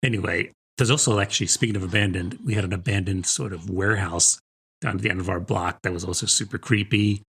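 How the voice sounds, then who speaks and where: A man's voice: deep voice, fairly even intonation, fast talker, creaky, fairly bright tone, slightly rough. A middle-aged man from New Jersey with an American accent, co-hosting a podcast in a casual, chatty, informal way. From New Jersey, United States. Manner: informal, chatty, peppered with rhetorical "right?" and "I mean". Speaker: podcast co-host. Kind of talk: casual conversational book chat.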